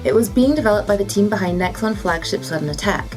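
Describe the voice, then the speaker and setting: A woman's voice: mid-range voice, lively intonation, fast talker, over music, rough recording. A woman presenter reading scripted gaming and esports news.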